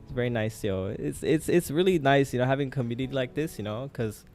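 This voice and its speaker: A man's voice: mid-range voice, fast talker, a little mellow, slight background noise. A young man, raised in Thailand, hosting a casual live trading stream and talking to his chat with lots of fillers. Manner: chatty, talks to chat with many fillers.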